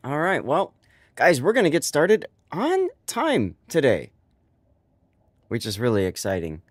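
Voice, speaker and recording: A man's voice: on the high side, huge pitch swings, unhurried, no room sound, studio quality. A man with a stuffy, congested voice, hosting a live stream and chatting casually with his viewers, rambling with many fillers.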